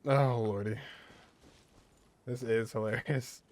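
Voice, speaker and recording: A man with a medium-pitched voice chats casually over gameplay. His delivery is amused and offhand, like a streamer reacting as he plays. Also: fairly even intonation, takes it slowly, darkish tone. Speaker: gaming streamer.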